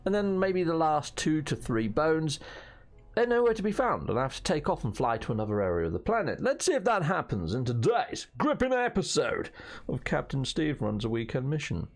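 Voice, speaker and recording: A hoarse British man chatting through a game as its host, jokey and fond of playful slang and mild swearing. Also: a little high, sing-song, normal speed. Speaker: gaming channel host. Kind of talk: casual gameplay commentary.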